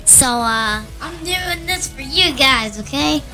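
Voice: high voice, expressive, takes it slowly. A gamer with a woman-like voice through a voice changer, chatting with teammates and commentating while playing. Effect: voice changer raising the voice. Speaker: gamer recording a trolling video. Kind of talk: gaming commentary and chat with teammates. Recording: loud music behind.

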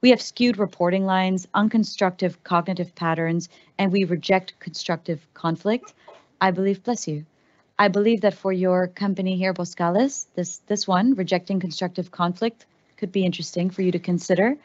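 Woman giving an animated stage talk. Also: on the low side, moderate pace, lo-fi sound. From Netherlands. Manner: animated, conversational, frequent fillers. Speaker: keynote speaker. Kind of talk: conference talk. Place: large stage hall.

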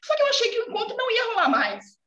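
A Brazilian woman in her forties with a gaúcha accent speaks earnestly from home over a video call, her voice set high. Her sentences keep ending on a questioning "né". The call sounds dull and echoey. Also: wildly swooping pitch, fast. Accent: Brazilian Portuguese, gaúcha. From southern Brazil. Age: 40s. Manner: warm, earnest, many filler "né" tags. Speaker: student guest. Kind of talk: casual video call chat. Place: home room indoors. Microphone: video call laptop mic.

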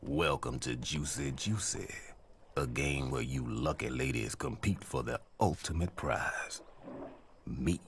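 A man playing a smooth, vain, flirtatious big hippo in a video game, crooning and boasting suavely. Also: rumbling low, big pitch swings, slow pace, slight background noise, exaggerated voice. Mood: boastful flirting. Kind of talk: video game character acting.

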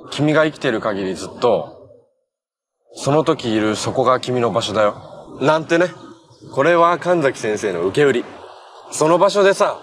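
Male actor as young TV drama hero, kind, earnest, very animated delivery, mid-pitched, noisy ambience behind. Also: moderate pace, pauses often, natural voice. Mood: gentle, encouraging. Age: young adult. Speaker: actor in a television superhero drama. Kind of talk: natural TV drama dialogue. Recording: noisy TV clip audio with ambience.

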